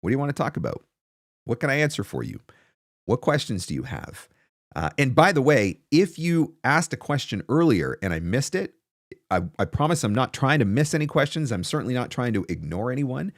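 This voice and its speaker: A man's voice: medium pitch, very animated, a little fast, no room sound, studio quality. A man in his forties hosting a casual advice Q&A, speaking emphatically with rhetorical questions and repeated key phrases. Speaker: host, voice actor coach. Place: small home studio, indoors. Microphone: close desk broadcast microphone.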